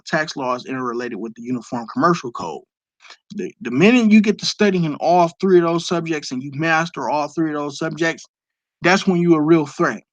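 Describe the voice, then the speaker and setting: A man's voice: a little high, a bit bright, no echo. Emphatic man lecturing on a stream. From Detroit, USA. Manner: emphatic, lecturing, sprinkled with profanity. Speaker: live streamer answering viewer questions. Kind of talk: casual live-stream Q&A.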